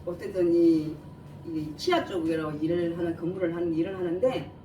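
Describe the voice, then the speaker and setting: A woman's voice: fairly low pitch, steady tone, moderate pace, dark tone. A woman preacher with a regional Korean dialect and slightly imprecise, lisping articulation explains scripture verse by verse, putting questions to listeners. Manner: asks listeners rhetorical questions and answers them. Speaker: preacher giving a Bible message. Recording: echoey room, distant mic, faint noise.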